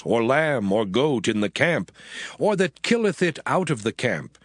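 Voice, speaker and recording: Man, scripture narrator, solemn and expressive, lively rising and falling intonation, music underneath. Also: mid-range voice, no room sound, studio quality.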